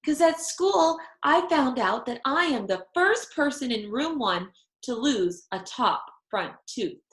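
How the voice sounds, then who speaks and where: A woman's voice: high voice, lively intonation, slow pace, pitched above the performer's own voice. A woman voicing a chatty, stubborn first-grade girl from a children's storybook, with childish, emphatic delivery. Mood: proud, insistent. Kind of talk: children's storybook read-aloud. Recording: echoey room.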